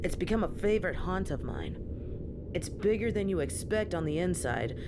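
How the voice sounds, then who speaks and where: Loud background noise surrounds a flirty, streetwise female werewolf played by a woman in a roleplay audio drama. Her voice sits on the low side and swoops freely as she teases the listener with playful, folksy slang, never hurrying. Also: lively intonation, normal speed. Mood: playful warning. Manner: teasing, folksy, casual drawl of slang.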